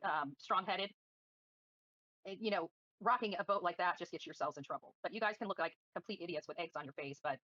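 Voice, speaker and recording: A woman's voice: on the low side, sharp tone, dry recording, lo-fi sound. A woman livestreaming commentary and answering viewers in a combative, defiant, scornful manner. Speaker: livestream host.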